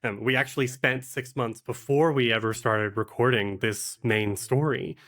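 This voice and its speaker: A man talking casually as an interview guest, explaining his work in halting phrases and often correcting himself. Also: medium pitch, steady tone, a bit bright. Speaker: podcast guest, actual-play game master and producer.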